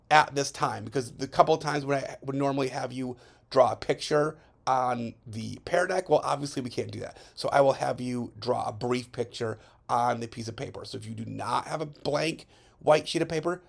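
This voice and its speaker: Gravelly-voiced man teaching science. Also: medium pitch. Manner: chatty, checks in with frequent "okay?". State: voice recovering from hoarseness. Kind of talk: recorded classroom lesson over slides.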